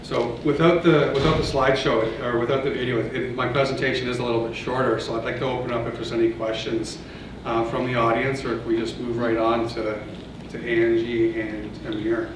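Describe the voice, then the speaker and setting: A Canadian man gives a talk in a large conference room, his even, flat delivery full of ums and restarts. He sounds set back from the mic, and the hall's echo and steady noise surround him. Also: mid-range voice, a little fast, recorded from a distance. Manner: hesitant, many fillers and restarts. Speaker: presenter. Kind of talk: conference presentation with audience Q&A. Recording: echoey hall, voice from off-mic, noisy.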